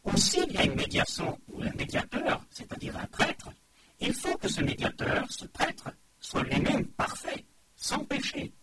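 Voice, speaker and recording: A middle-aged man preaches a measured, explanatory sermon, and his voice sits very high with a lively rise and fall. A loud background noise runs under the whole recording.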